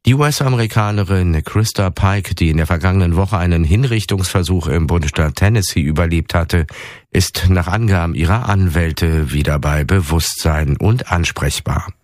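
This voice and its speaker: A man with a very low voice reads the hourly news in a measured, formal tone. The studio sound is dry and close, with no room around it. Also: darkish tone, no echo. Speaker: radio newsreader. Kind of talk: read news bulletin. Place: radio studio.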